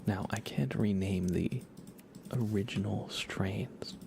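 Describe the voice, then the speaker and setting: A man whispering softly and playfully close to the microphone, giving relaxed ASMR commentary while he games and chats with viewers. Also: on the low side, slow, a little hiss or hum. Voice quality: soft, breathy whisper. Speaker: live streamer. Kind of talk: whispered ASMR game stream commentary with chat.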